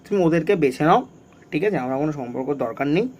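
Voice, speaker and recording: A young man speaks very quickly in a fairly high voice. He sounds casual and conversational, pressing his points insistently and repeating them. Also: animated, a little mellow, a little hiss or hum. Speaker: prank video creator. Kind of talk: casual vlog talk and phone call.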